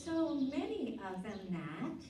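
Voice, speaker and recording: A woman playing a concerned wife and mother in an expressive old-time radio drama style. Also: high-pitched, slow pace, full character voice. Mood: puzzled. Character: worried wife and mother, gently reproachful. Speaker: actress. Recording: roomy, distant mic, faint noise, birdsong.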